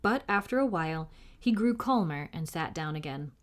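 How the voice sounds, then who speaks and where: A woman narrating a children's book aloud as a storytime reader, in an expressive style. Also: low voice, moderate pace.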